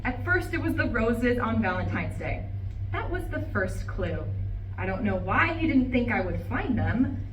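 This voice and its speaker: A high, lively-pitched voice of a bitter, wronged wife, acted by a woman in a stage play. She vents with indignant emphasis. The recording is roomy, as if from the back of a live reading. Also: measured pace. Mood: bitter, brooding. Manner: indignant, emphatic, swearing monologue. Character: bitter, betrayed wife venting to her plants. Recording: roomy, distant live recording, faint noise.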